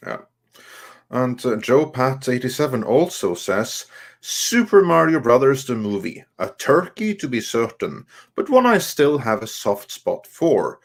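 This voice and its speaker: A man co-hosting a live stream, reading viewer comments aloud in a halting way and responding casually. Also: mid-range voice, very animated. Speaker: co-host reading viewer messages.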